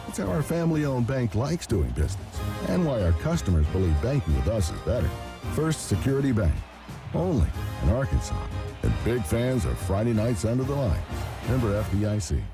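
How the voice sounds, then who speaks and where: A man's voice: fairly low pitch, wildly swooping pitch, moderate pace. A man narrating a scripted radio advertisement as a commercial voice-over announcer. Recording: produced ad with music bed.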